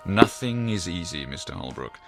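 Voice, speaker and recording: An actor playing a learned, probing psychiatrist in an audio drama, speaking in a deliberate, formal, professorial way. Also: male voice, low-pitched, very animated, music in the background. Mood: measured, lecturing.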